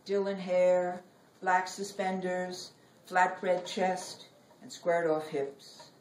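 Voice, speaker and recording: A middle-aged woman giving a live poetry reading in measured, deliberate phrases with dramatic pauses. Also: on the low side, little pitch movement. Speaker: poet reading own work. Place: library reading room. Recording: roomy, noisy recording, distant from mic.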